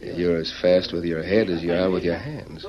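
Man playing a swaggering low-voiced outlaw. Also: normal speed, lightly acted. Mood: sly, scheming. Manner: cocky, slangy, goading. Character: swaggering, menacing, scheming male western gunslinger outlaw. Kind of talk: old-time radio western acting. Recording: muffled vintage radio recording.

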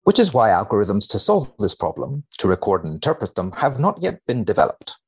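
A man speaking as a researcher guest in conversation, wry and measured, giving tongue-in-cheek commentary with mock seriousness. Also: fairly low pitch, very animated, husky. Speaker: podcast guest, biomedical researcher.

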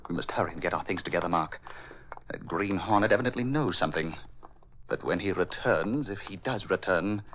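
A man's voice: sits low, brisk, a little hiss or hum, close to an everyday voice. An actor playing a scheming, menacing male Gestapo agent in a 1940s radio drama, commanding and urgent in manner. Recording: muffled vintage radio recording.

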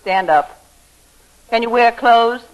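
An actress playing a commanding, wry female advertising executive in a 1940s radio comedy, with a brisk, bossy delivery. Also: on the high side. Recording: noisy old radio broadcast recording.

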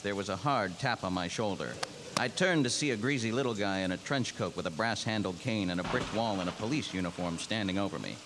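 An actor playing a world-weary ex-cop detective, terse and cynical, in the style of 1940s noir radio. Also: male voice, on the low side, noisy background, close to an everyday voice. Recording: music under the voice.

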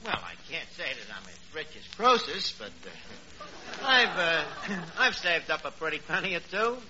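An actor playing a gullible, self-important New York barkeep in 1940s radio comedy, using ungrammatical street talk with pompous airs. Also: male voice, fairly high pitch, very animated, measured pace, pushed and bright, exaggerated voice. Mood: earnest, proud. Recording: muffled, noisy 1940s radio recording.

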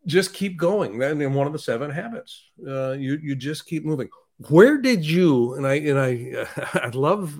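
Thoughtful man interviewing, halting delivery. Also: mid-range voice, a little mellow. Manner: halting, thoughtful, circles toward questions. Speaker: podcast host, author. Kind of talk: reflective conversational interviewing.

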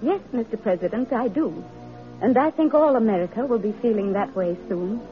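A woman's voice: fairly high pitch, lively intonation, moderate pace, close to an everyday voice. An actress playing a principled, determined woman social reformer in a radio drama, speaking earnestly and firmly. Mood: earnest conviction. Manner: earnest, firm, declarative. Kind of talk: vintage radio drama acting. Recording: muffled vintage radio, music behind.

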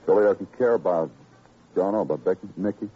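An actor playing a weary, hard-boiled police detective in a radio crime drama, clipped, dry and brooding. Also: male voice, sits low, fairly quick, husky. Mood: probing. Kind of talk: 1950s radio crime drama acting and narration. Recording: muffled old radio broadcast recording.

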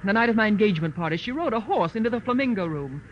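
A woman's voice: mid-range voice, lively intonation, rapid-fire, natural voice. An actress playing a haughty, commanding rich society woman in 1940s radio drama style. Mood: indignant, bitter. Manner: crisp, commanding, disdainful. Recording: dull, noisy old radio recording.

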